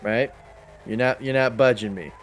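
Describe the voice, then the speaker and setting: A man's voice: medium pitch, moderate pace. A talkative man chatting casually as he plays a video game, explaining things at length and swearing freely. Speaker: gamer in a role-play server. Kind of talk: casual chat while gaming.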